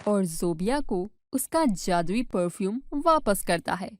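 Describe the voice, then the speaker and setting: A woman's voice: medium pitch, lively intonation, unhurried, no room sound, clean studio sound. A woman reading scripted storybook narration as the narrator.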